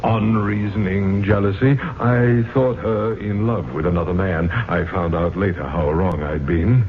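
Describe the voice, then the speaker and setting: A man's voice: low voice, fairly even intonation, a little hiss or hum. An actor playing a centuries-old, melancholy ghost of a nobleman in a 1970s radio drama, speaking in a grandiloquent, theatrical way. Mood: rueful. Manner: grandiloquent, slow theatrical delivery. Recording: muffled, phone-like vintage radio broadcast with music.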